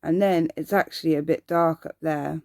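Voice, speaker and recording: A woman's voice: low-pitched, steady tone, normal speed, creaky, a little mellow, no room sound, clean studio sound. A woman voicing a precocious, earnest little boy, matter-of-fact and knowing, in an ASMR bedtime story. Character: precocious, earnest little boy who loves scary monsters.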